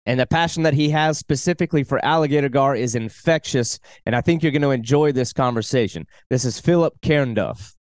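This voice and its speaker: A man's voice: medium pitch, darkish tone, dry recording. A man hosting a fishing podcast, reading an eager intro and then chatting casually with his guest. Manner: enthusiastic, conversational. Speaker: podcast host. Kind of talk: scripted intro, then casual interview chat.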